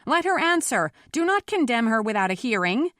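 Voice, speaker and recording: A woman's voice: a little high, lively intonation, moderate pace, a bit bright, no room sound, clean studio sound, pitched above the performer's own voice. A woman voicing an earnest, protective townsman in an audiobook, urgent and firm. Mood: urgent pleading. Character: earnest protective townsman pleading against an angry mob. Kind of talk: audiobook character voice.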